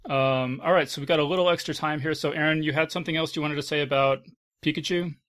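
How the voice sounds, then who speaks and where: A man's voice: a little high, steady tone, fast, a bit bright. A man hosting a casual podcast panel, speaking in an informal, hesitant way. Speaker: podcast host.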